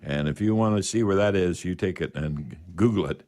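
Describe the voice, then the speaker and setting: A man's voice: low voice, brisk, darkish tone. A Canadian man hosting a home improvement radio show, talking in a folksy, emphatic way and repeating himself to drive points home. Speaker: radio call-in show host. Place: radio studio.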